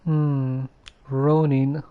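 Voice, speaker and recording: Very slow-talking male game streamer. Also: mid-range voice, flat delivery, narrow, tinny audio. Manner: hesitant, thinking aloud. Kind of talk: casual, musing game stream commentary.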